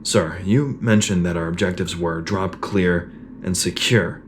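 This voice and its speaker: A man's voice: low-pitched, unhurried, a little hiss or hum. A man playing a determined, questioning soldier who leads a squad of shock troopers in a sci-fi audio drama. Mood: earnest, questioning.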